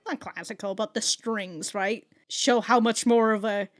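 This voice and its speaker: Woman, anime reaction host, casual review talk, swears a lot, laughs easily, fairly high voice. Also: fairly even intonation, unhurried. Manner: chatty, swears freely, laughs often; thinks aloud with frequent restarts.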